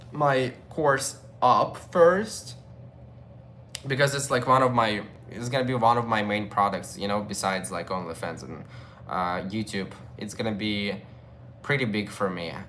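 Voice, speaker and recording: Male streamer, young adult; casual, rambling chat with viewers, mid pitch, faint noise behind him. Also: a bit bright. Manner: loose, chatty, with many fillers and restarts. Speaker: livestream host. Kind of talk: casual livestream chat, reading viewer comments.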